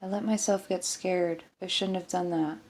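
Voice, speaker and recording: A woman's voice: fairly low pitch, fairly even intonation, normal speed, darkish tone, natural voice. Woman reading as an earnest woman doctor. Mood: guilty, subdued. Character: conscientious female station doctor, anxious and caring.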